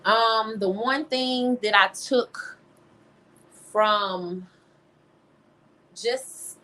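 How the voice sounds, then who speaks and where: A woman's voice: on the high side, extremely slow, bright, forceful tone, low-quality recording. A young American woman talking casually and emphatically as an interview guest, often adding "you know". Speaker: interview guest, teacher and singer-songwriter. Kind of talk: casual conversational interview answers.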